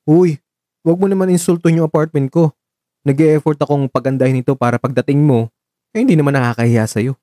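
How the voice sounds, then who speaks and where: Man acting a mellow, caring young suitor. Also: fairly high pitch, fairly even intonation, fairly quick, no echo, clean studio sound, natural voice. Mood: light, teasing. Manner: gentle, reassuring, conversational Taglish. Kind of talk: radio drama acting. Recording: voice over background music.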